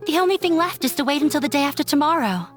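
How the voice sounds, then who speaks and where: Polite young woman, hopeful, high voice, video game dialogue, background music. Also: lively intonation, very fast. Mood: relieved. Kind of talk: video game dub acting.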